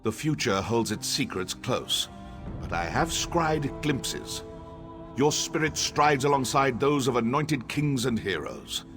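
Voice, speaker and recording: A man's voice: mid-range voice, very animated, takes it slowly. A man playing an arrogant, cryptic elven sorcerer scholar in a video game, theatrical, condescending and dryly sardonic. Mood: haughty, cryptic. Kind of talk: scripted video game dialogue. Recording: music under the voice.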